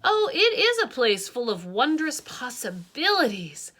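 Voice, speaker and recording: Gentle storybook teacher, bright tone, unhurried, as a woman reader voices her. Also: high-pitched, very animated, voice pushed up, full character voice. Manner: gentle, warm and encouraging. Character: kind wistful woman teacher, encouraging.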